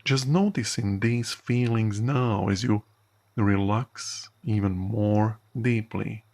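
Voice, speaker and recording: A man leads a guided hypnosis session in a low, gravelly voice. He speaks very slowly and soothingly, leaving frequent pauses between measured phrases. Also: steady tone, a little mellow. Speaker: hypnotherapist guiding a recorded session. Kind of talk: guided sleep hypnosis narration.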